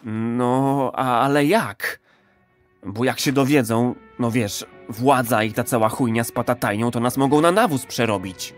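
A reluctant would-be hero played by a man in a radio play, doubtful and afraid. He speaks slowly in a mid-pitched voice, hesitant and slangy, over faint music. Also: close to an everyday voice. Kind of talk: audio drama acting.

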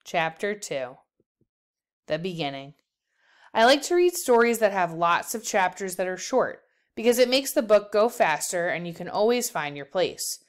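A woman's voice: mid-range voice, sing-song, normal speed. A woman narrating a children's book aloud as a storyteller.

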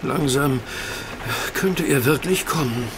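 An actor playing a keen, restless gentleman detective in a German audio drama, expressive and precise. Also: on the high side, slow pace, background noise. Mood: impatient.

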